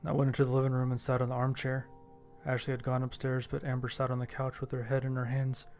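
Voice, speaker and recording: A man reading scripted first-person horror fiction as its narrator, with a gravelly voice. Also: medium pitch, completely flat delivery, quick pace, darkish tone, faint music behind.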